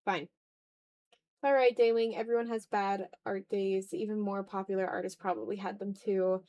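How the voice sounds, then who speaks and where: A woman's voice: mid-range voice, fairly even intonation, moderate pace, darkish tone, no room sound. A woman chatting casually while she draws on a livestream, rambling in a self-deprecating way. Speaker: art livestreamer. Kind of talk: casual chat while drawing on stream.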